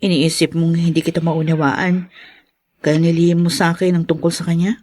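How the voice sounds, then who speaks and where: A woman playing a gentle, understanding wife in a Filipino radio drama, soft and sympathetic. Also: fairly low pitch, flat delivery, fairly quick, no room sound, clean studio sound, natural voice. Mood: questioning, concerned.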